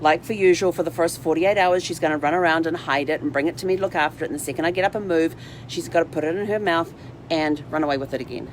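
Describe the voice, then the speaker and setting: A woman's voice: low-pitched, fast talker, slight background noise, rough recording. A woman talking casually and informally in a chatty, rambling livestream vlog style. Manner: chatty, informal, talks to her dog in asides. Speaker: vlog host. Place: inside a parked RV.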